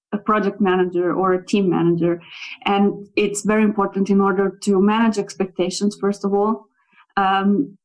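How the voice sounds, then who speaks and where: A woman's voice: medium pitch, low-quality recording. A young Italian woman, a native Italian speaker, talking about her work as a localization project manager in a casual interview, thoughtful and full of fillers and restarts. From Italy. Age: young adult.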